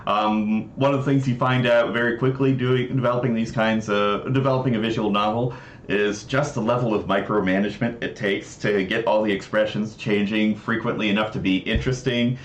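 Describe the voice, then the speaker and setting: A man's voice: fairly low pitch, steady tone, a little fast, a little hiss or hum. A man hosting a casual livestream, talking through a demonstration of a game project in an informal way. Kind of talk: casual livestream demo commentary. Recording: roomy echo, music behind. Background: game music.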